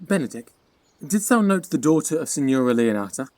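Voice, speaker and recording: A man's voice: a little high, sing-song, a little fast, a little mellow, no echo. A man playing an eager, lovestruck young lord, speaking sincerely in a Shakespearean radio play. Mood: smitten, earnest.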